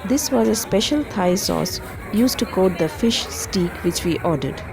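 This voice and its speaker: A woman reading a scripted travel voice-over in an even, informative way. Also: on the low side, normal speed, creaky, over music. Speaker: travel vlogger narrating.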